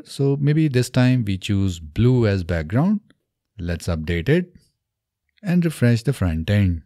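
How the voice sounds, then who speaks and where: A man in his thirties narrating a step-by-step software tutorial into a close microphone. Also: fairly low pitch, no room sound. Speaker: tutorial presenter. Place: small indoor home studio. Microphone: close desk microphone on an arm.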